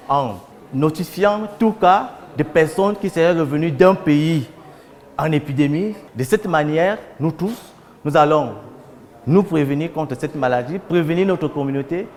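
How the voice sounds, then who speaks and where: A man's voice: on the high side, a little mellow, in a large hall. A man giving a public health announcement, speaking as a spokesman.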